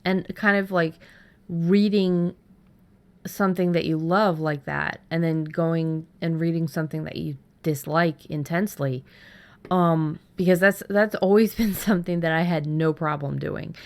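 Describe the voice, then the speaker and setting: A woman's voice: low voice, fairly even intonation, unhurried. A woman co-hosting a casual chat, thinking aloud in a loose, rambling way. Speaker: podcast co-host.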